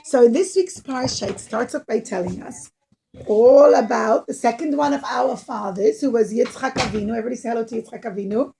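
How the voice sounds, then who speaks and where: A woman with a high voice tells a children's puppet story. She speaks in simple, expressive phrases and encourages her young listeners like a teacher. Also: fairly quick, gravelly, a little hiss or hum, rough recording.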